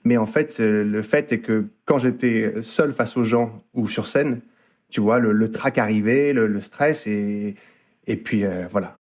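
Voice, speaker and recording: A man's voice: fairly low pitch, a little slow. A man, an actor and voice coach, telling stories in a casual, playful interview chat. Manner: animated, playful storyteller.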